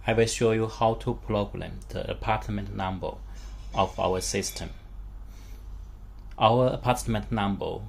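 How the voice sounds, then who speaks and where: Slow-talking man giving product tutorial. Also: on the low side, vocal fry, husky. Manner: simple instructions in short, halting phrases and non-native English. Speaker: product demonstrator. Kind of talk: step-by-step tutorial demonstration.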